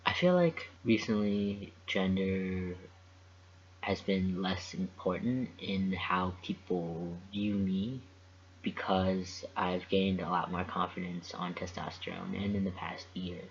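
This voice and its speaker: A young adult man in a casual vlog, talking in a thoughtful, measured way with frequent pauses. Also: deep voice, creaky, slight background noise. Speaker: vlogger. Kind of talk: casual reflective vlog monologue. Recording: dull lo-fi recording.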